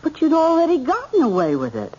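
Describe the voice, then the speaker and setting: Exasperated American mother, very animated. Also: high-pitched, fairly quick, slight background noise. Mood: placating, baffled. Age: middle-aged. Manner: chiding, exasperated, placating. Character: exasperated, protective, reasonable middle-aged mother. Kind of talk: old-time radio drama acting. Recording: tinny, phone-like old radio recording.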